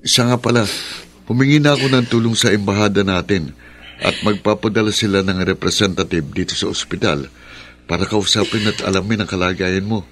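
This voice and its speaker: A man's voice: lowish voice, steady tone, fairly quick, fairly bright tone. An actor in a Filipino radio drama playing a helpful, practical male friend, earnest and reassuring. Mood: concerned, reassuring.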